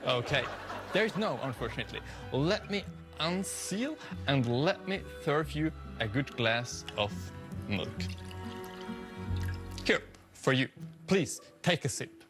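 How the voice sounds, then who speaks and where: A man's voice: on the high side, sing-song, slow pace. A man from Switzerland, doing dry, tongue-in-cheek stage patter as a magician performing for judges. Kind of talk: scripted comic stage patter. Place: TV studio stage with live audience. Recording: studio stage with audience and music.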